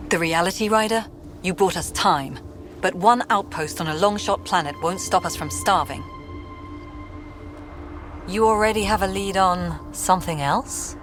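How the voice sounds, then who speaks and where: An actress playing a guarded, skeptical colonial official in a video game, speaking in a measured, dry, candid way. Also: female voice, fairly low pitch, soft background music, close to an everyday voice. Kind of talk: video game dramatic dialogue.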